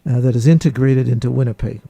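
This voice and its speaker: Male radio host doing an interview, soft dark tone, formal and deliberate, very dry room. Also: mid-range voice, fairly even intonation, creaky, dry recording. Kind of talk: radio interview, addressing listeners.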